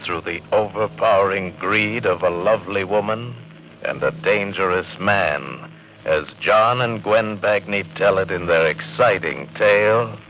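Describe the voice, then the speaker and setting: Deep, slow old-time radio announcer, male. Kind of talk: 1950s dramatic scripted radio introduction. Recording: muffled 1950 radio broadcast, faint music.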